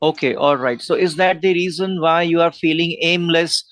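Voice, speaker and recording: A man with an Indian English accent tutoring English in a one-on-one video call lesson, giving earnest advice with frequent pauses and fillers. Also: fairly high pitch, fairly bright tone, dry recording, clean studio sound.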